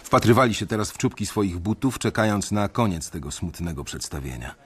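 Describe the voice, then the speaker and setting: A young man narrating a radio drama in the first person, measured and brooding. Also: sits low, very animated, breathy edge. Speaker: narrator and main character.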